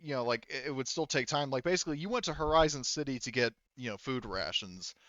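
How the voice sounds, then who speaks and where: A man speaking casually as game master, repeating himself and feeling his way through explanations in a halting manner. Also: medium pitch, animated, fairly bright tone, dry recording, dull audio. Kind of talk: running a tabletop game, casual talk.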